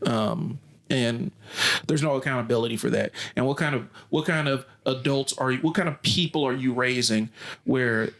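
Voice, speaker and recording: A man's voice: medium pitch, normal speed, creaky, fairly bright tone. Blunt male coach, opinionated podcast co-host. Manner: blunt, ranting, casual swearing.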